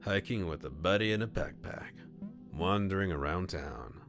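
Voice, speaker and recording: A man's voice: low-pitched, moderate pace, gravelly, music in the background. A man streaming a game, reading his choices aloud with chatty comments and frequent laughs.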